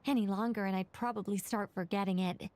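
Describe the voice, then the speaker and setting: A woman's voice: medium pitch, steady tone, brisk, darkish tone, lightly acted. A woman voicing an eager young scholarly mystic in an anime-style video game, wordy and flustered, trailing off and correcting herself.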